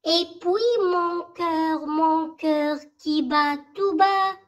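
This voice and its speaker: An extremely high, child-like voice recites a poem very slowly. The lines come in short, measured phrases with pauses between them. It is delivered as a school recitation meant for learning by heart. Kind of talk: slow poem recitation for memorization.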